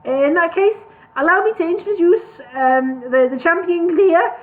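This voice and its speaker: A woman's voice: very high-pitched, moderate pace, pitched above the performer's own voice. A woman voicing a flustered male troupe leader who hesitates with many ums and uhs, on a tinny phone-like recording.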